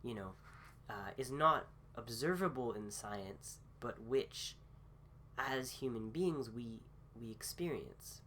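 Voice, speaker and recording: A man recovering from a cold gives a casual philosophy talk with many pauses, fillers and restarts. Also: mid-range voice, animated, pushed and bright, slight background noise. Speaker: host.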